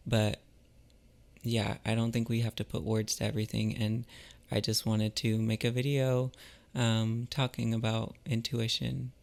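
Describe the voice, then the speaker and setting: Slow, creaky-voiced man musing. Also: on the low side, completely flat delivery. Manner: halting, musing, with trailing pauses. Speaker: vlogger and artist. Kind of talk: casual reflective chat to viewers.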